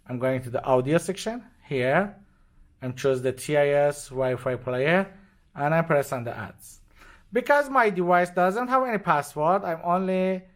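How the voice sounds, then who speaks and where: A man with non-native, halting English walks step by step through an unscripted product demonstration. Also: a little high. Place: indoors at a desk with devices.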